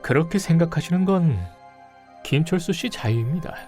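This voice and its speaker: A man playing a stern, suspicious police detective in an audio drama, speaking deliberately and pointedly. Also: on the high side, animated, darkish tone, faint music behind, lightly acted. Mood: accusing, sardonic.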